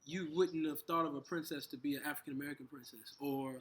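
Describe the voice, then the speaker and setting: A man co-hosting a casual conversation, talking in halting bursts with frequent restarts. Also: on the high side, fairly even intonation, fairly bright tone. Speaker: co-host.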